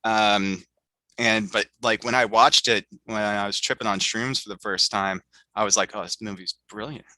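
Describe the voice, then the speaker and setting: Rambling man host, very bright voice. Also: on the low side, fairly even intonation, normal speed, no room sound. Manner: rambling, filler-heavy. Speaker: podcast host. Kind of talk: casual chat.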